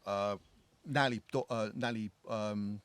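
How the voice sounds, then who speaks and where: A man's voice: on the low side, fairly even intonation, normal speed, clear, resonant tone, no echo. A man with a thick London accent, rambling and swearing as he chats about films on a podcast. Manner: rambling, swears freely, many fillers and restarts. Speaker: podcast co-host. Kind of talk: casual film discussion. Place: living in Ireland.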